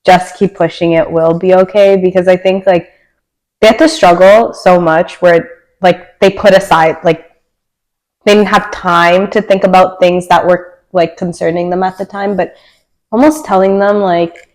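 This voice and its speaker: A woman's voice: fairly low pitch, steady tone, normal speed, a little mellow, low-quality recording. A young woman from Canada with a Canadian accent, a podcast guest talking casually, filling her speech with many "like"s and laughing often. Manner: chatty, filler-heavy with many likes, laughs often.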